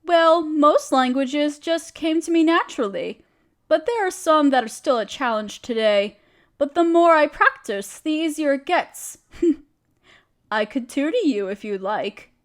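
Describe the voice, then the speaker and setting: A woman's voice: sits high, animated, a little slow. A woman playing a friendly, genteel witch who chats kindly in quaint, dainty phrases, in an audio roleplay. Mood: kindly, reflective. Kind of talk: scripted audio roleplay speaking to the listener.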